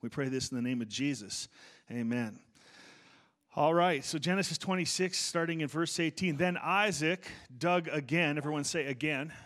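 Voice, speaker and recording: A middle-aged male pastor preaching a conversational sermon in church, self-deprecating and warm-humored, with frequent restarts. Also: on the high side, normal speed.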